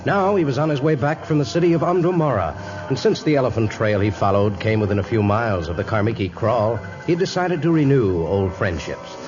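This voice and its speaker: A man's voice: medium pitch, animated, brisk, over music, natural voice. A man narrating a jungle adventure serial in dramatic 1950s radio style. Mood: dramatic, suspenseful. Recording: muffled old radio recording.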